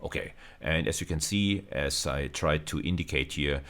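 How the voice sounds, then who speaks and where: A man's voice: very deep voice, moderate pace, fairly bright tone. A man giving an explanatory video lecture as a university lecturer, often repeating and rephrasing his phrases as he explains.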